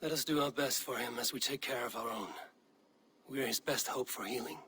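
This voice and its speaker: An actor playing a steady, diplomatic Viking warrior chieftain in a video game, measured and dryly wry. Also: male voice, mid-range voice, husky, heavily acted. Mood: grave. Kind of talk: dramatic video game acting.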